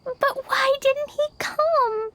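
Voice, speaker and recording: A woman voicing an excitable, innocent little girl in a video game. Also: very high-pitched, takes it slowly, slight background noise, exaggerated voice. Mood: disappointed, puzzled.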